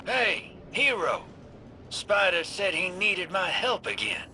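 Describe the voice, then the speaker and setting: A man's voice: high-pitched, takes it slowly, bright, forceful tone, full character voice. A man playing a roguish, casual and cocky adventurer in a video game. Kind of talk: video game character acting. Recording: noisy ambience behind the voice.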